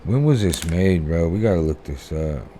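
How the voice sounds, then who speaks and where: A man hosting a reaction video, chatting casually and swearing as he thinks out loud. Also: low-pitched, lively intonation, moderate pace, a little hiss or hum.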